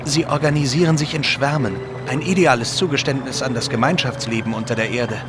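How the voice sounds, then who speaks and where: Actor as grave Victorian time traveller, noisy background. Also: male voice, medium pitch, brisk, close to an everyday voice. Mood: earnest, warning. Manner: earnest, explanatory. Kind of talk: audio drama acting. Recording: clear outdoor vehicle noise behind.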